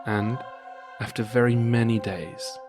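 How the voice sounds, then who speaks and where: A man reading a scripted folk tale as a storyteller, measured and old-fashioned. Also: medium pitch, steady tone. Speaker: storyteller, podcast host. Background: music.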